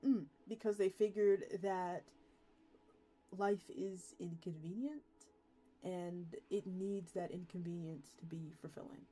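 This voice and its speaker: A woman's voice: fairly low pitch, slow. A woman streamer talking casually to her live audience, informal and openly reactive as she chats and reads aloud. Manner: informal, animated reactions, addresses viewers. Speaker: streamer hosting a live read-along. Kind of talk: casual livestream chat, reacting and reading aloud.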